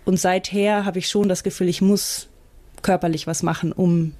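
A woman's voice: lowish voice, steady tone, normal speed, a little mellow. A woman in her thirties telling a personal account, a bit hesitant and searching for words. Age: 30s. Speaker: interviewee.

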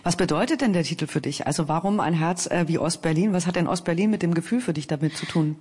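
A woman's voice: lowish voice, fast talker, a little mellow, husky. A woman hosting a radio interview, asking long, fluent, conversational questions. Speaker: radio host.

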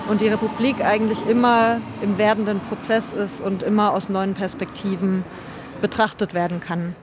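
A woman guides a tour group and explains things with pauses. She speaks at a mid pitch. Clear train and street noise can be heard behind her in this field recording. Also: little pitch movement. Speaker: theatre maker and tour guide. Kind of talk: guiding a tour. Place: on a city train.

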